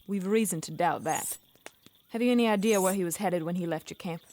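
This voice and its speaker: A woman's voice: fairly low pitch, brisk, a little mellow, a little hiss or hum, natural voice. A woman playing a stern, menacing military commander in a fantasy audio drama, clipped and formal.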